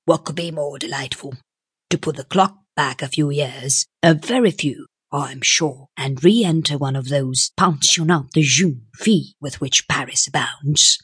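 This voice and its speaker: A prosperous, shifty man of business as a woman reader plays him in an audiobook. The voice sits low and breathy, close and dry. He talks in a measured, oily, formal way. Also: fairly bright tone, no echo, heavily acted. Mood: smooth. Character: sleek, shifty, smooth-talking prosperous businessman. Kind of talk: audiobook character voice.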